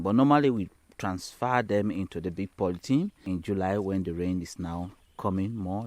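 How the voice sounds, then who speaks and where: A man's voice: low voice, darkish tone, no room sound. A man with a Sierra Leonean accent explaining practical farming steps as a trainer in an instructional film. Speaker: agricultural trainer.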